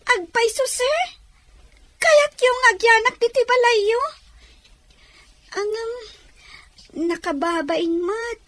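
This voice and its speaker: A woman's voice: very high-pitched, slow, long pauses, fairly bright tone, no echo, full character voice. A woman voicing a humble, pitiful orphaned young girl in an audio drama, meek and pleading. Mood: meek, grateful. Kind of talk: Ilocano audio story acting.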